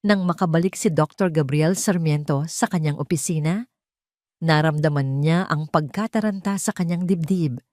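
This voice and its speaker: A woman narrates a melodramatic story from a script. Her voice sits low, and the sound is dry, with no room echo. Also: normal speed, a little mellow. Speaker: audio drama narrator.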